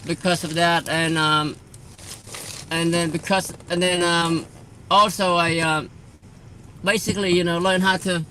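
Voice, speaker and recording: A man in his fifties with a high, bright, forceful voice. He answers questions haltingly, filling pauses with ums and starting phrases over. A faint hiss sits under his voice. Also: level tone. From Cambodia. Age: 50s. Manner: halting, many filler sounds and restarts. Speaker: guest. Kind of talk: phone-in interview.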